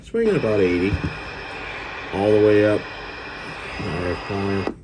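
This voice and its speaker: A man giving a casual, unscripted product demo as a seller, speaking plainly and hesitantly with frequent pauses. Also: on the low side, sing-song. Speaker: auction seller demonstrating radio gear. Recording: clear background noise, home video.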